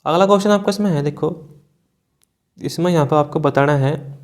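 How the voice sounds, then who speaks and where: A man with a somewhat high voice works as a coaching teacher in an online class. He explains answers step by step, repeating key points and frequently tagging them with a short check-in. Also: moderate pace. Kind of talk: online class working through multiple-choice questions.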